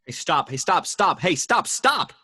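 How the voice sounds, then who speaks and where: Casting: man as take-charge armored hero, slightly high, bright and tense, barking clipped orders. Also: big pitch swings, measured pace, husky, heavily acted. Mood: tense pleading. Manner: clipped, pleading orders, repeats himself. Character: urgent commanding male armored hero. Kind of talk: amateur table read of a film script.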